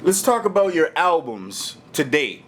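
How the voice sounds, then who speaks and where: A man's voice: on the high side, big pitch swings, measured pace, a bit bright, a little hiss or hum. A male host interviewing a guest casually, informal and often repeating words as he frames his questions.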